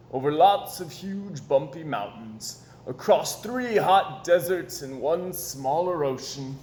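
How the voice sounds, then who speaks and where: A man reading a children's story aloud in an expressive, animated storytelling style, with dramatic pauses and emphasis. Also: fairly high pitch. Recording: echoey room with background noise.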